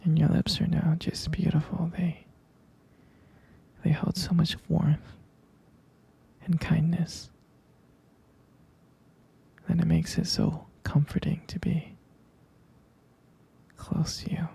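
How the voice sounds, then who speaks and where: A man speaks in a tender, adoring boyfriend ASMR murmur, slow and very soft. His voice is extremely breathy and husky, slipping into whispers, with heavy vocal fry running through it. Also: fairly high pitch, flat delivery. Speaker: ASMR roleplay creator. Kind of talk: intimate boyfriend roleplay ASMR.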